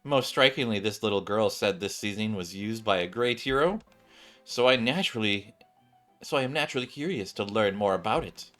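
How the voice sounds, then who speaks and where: A man's voice: lowish voice, animated, fairly quick, a bit bright, soft background music. A man voicing a pompous game heroine who makes grand, formal, righteous declarations. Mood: grand, self-satisfied. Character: pompous, theatrical female hero with grand righteous airs.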